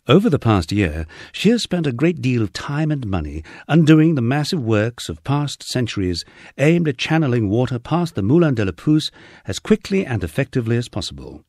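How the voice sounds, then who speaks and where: A man reads a memoir aloud in a low, husky voice. His intonation rises and falls freely, giving the wry narration a storyteller's swing. The sound is dry and close, with hardly any room to it. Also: darkish tone, no echo. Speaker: audiobook narrator. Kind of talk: scripted first-person memoir narration.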